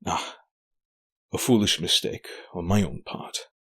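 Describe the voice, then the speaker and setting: Man playing a slow-spoken, weary older king. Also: low voice, a bit bright, slightly rough, full character voice. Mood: grave, reassuring. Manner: formal, measured, stately. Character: weary, dignified older king, gentle father. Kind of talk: audio drama acting.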